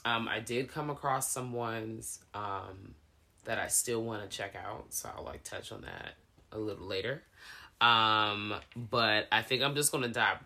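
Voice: mid-range voice, measured pace, creaky, bright, forceful tone. A podcast host chatting casually about horror films, laid-back and swearing freely.

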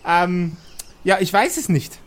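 A man's voice: high voice, animated, takes it slowly. A man voicing a doting, fussing dwarf mother who talks affectionately to her boys in an improvised audio play. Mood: worried, fussing. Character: doting dwarf mother, folksy, feeding her three grown sons.

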